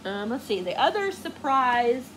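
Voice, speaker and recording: High-voiced rambling woman book vlogger, noisy recording. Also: big pitch swings, measured pace, fairly bright tone. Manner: rambling, gushing, often trails off searching for words. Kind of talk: casual chatty vlog to viewers.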